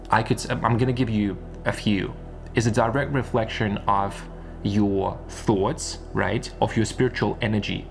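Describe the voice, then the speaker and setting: A man giving a casual explanatory monologue like an online coach, repeating points for emphasis and often checking for agreement. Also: lowish voice. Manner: emphatic and repetitive, often seeking agreement with tag questions. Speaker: YouTube business and spirituality coach.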